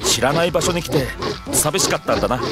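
A suave, unflappable military man from an anime audio drama, played by an actor. His delivery is cool, teasing and mock-dramatic, with lively swings in pitch, over loud background music. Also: quick pace, natural voice. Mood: smooth, teasing. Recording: music bed under the voice.

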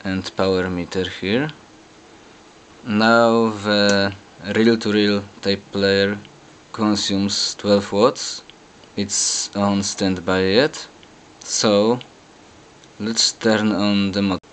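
A man with a fairly low voice narrates a hands-on demonstration. He speaks very slowly, in halting, deliberate phrases. The camera microphone picks up clear noise behind him. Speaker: host of an electronics demo video. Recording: clear background noise on amateur camera audio.

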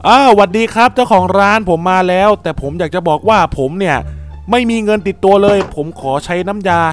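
A man with a slightly high voice narrates his game character's adventure. He speaks in an excitable way, full of exclamations. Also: brisk, faint music behind. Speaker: gaming channel host and voice actor.

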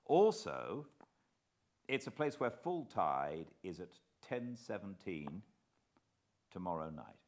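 A man's voice: deep voice, very animated, slow, pauses often, a little mellow, exaggerated voice. A British man voicing a determined, decisive amateur sleuth in a storybook reading. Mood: intent. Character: determined, urgent male amateur sleuth hero.

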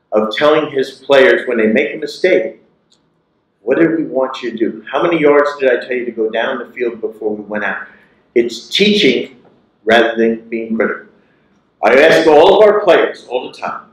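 A man in his sixties giving a motivational keynote speech through a lapel mic, emphatic and repeating his key phrases. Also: medium pitch. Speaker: keynote speaker. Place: large lecture hall. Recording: echoey lecture hall.

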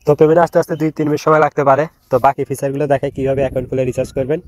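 A man giving a quick step-by-step voice-over of an app on his phone, with frequent fillers. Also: medium pitch, flat delivery, a little mellow, no room sound. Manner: rapid step-by-step instructions with fillers. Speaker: online earning tutorial creator. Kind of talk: screen-recorded app walkthrough.

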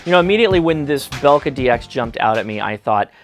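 A man's voice: medium pitch, lively intonation, fast, faint music behind. An American man in his thirties presenting a casual product review to camera in an enthusiastic, emphatic way. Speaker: host of a ham radio review channel. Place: small indoor workshop room. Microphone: lapel mic.

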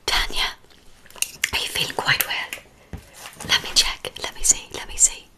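A woman whispers playfully and casually to live viewers, close to the mic, often repeating phrases. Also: unhurried. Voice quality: breathy whisper. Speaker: ASMR live streamer. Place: small home room, indoors. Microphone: large microphone right in front of mouth.

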